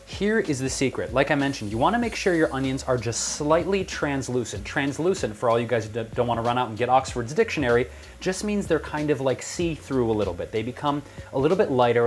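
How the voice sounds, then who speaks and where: American guy doing a cooking show, chatty n upbeat, jokey, music playing behind him. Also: mid-range voice. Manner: playful, chatty, enthusiastic. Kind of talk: upbeat recipe demonstration to camera. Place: kitchen.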